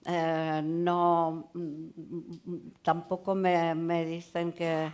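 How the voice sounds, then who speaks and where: A woman writer on a literary panel speaking Spanish as a learned language. She talks hesitantly, with many pauses and fillers. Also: fairly low pitch, level tone, a little mellow, clean tone. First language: not Spanish. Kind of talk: conversational panel talk.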